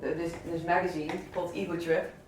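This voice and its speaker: Man with a southern Dutch accent, relaxed record talk full of fillers; slightly high pitch, recorded at a distance in a reverberant room with faint noise. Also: fairly quick. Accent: Dutch, southern Brabant. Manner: hesitant, many fillers and restarts. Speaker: DJ and record selector. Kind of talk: casual talk about favourite records. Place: indoor listening session. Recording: echoey room, mic at a distance.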